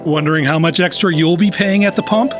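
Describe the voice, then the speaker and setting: Over prominent music, an actor plays a polished radio news announcer. His voice sits a little high for a man. He reads in the official style of an hourly bulletin in a radio drama. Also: fairly quick, lightly acted. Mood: brisk, official.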